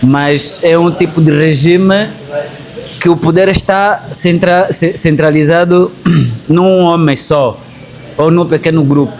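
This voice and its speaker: Higher-pitched Angolan man explaining in conversation. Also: takes it slowly, darkish tone. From Angola, Bié. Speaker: interview guest, teacher. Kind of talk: conversational political interview.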